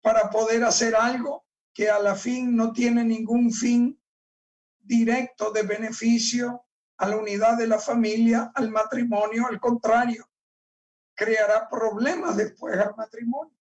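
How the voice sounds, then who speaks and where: Slow, emphatic male pastor, distant mic. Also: very high voice, level tone. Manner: slow, deliberate, emphatic, stresses key words. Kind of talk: sermon, reading and explaining scripture. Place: church.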